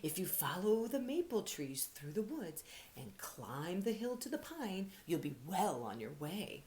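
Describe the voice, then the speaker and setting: Wise female storybook owl, hooting and drawn-out played by a woman reader; faint noise on recording. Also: on the low side, very animated, a little mellow. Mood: guiding.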